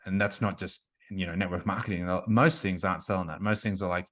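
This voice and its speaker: A talkative man in his forties with a lowish voice. He speaks in a loose, conversational way, full of "like," restarts and asides, as he explains his business as a coach. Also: steady tone, fairly quick, a bit bright. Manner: chatty, frequent "like" and restarts. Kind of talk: casual interview conversation.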